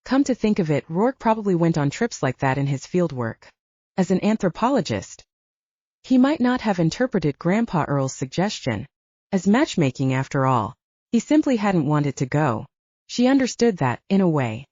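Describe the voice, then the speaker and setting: A woman's voice: lowish voice, sing-song, normal speed, a little mellow, dry recording. A woman reading scripted fiction as an audiobook narrator. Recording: dull, slightly lo-fi, dry recording.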